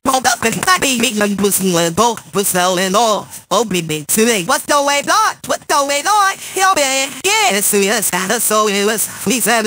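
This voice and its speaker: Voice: mid-range voice, bright, forceful tone. An AI-converted cartoon character voice rapping game dialogue in an exaggerated, singsong delivery.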